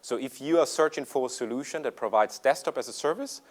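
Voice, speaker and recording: A man's voice: mid-range voice, quick pace. A man from Germany with a German accent giving a conference presentation in English, with frequent ums and uhs. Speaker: conference speaker. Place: conference room.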